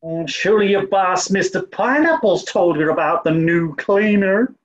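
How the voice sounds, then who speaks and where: A man's voice: high-pitched, expressive, moderate pace, lo-fi sound. A man voicing a toff who poses as a bumbling, over-polite cleaning woman with a put-on Southern US accent. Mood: bluffing sweetly. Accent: put-on American South. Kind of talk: 1940s radio comedy acting.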